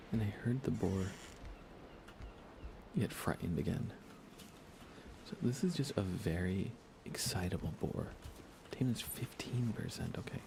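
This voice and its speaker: A man live streaming a game in a casual ASMR style, chatting softly with viewers in a hushed voice over background noise. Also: on the low side, vocal fry.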